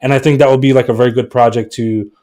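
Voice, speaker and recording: A man speaking as a guest on a casual live stream, energetic and emphatic, in a motivational way. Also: medium pitch, fast talker.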